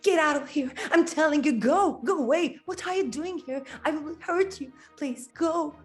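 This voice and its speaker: A woman's voice: high-pitched, moderate pace, soft background music, pitched above the performer's own voice, lightly acted. A woman voicing a distressed young ice queen from a fairy tale, commanding yet pleading as she warns others away. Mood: upset, warning.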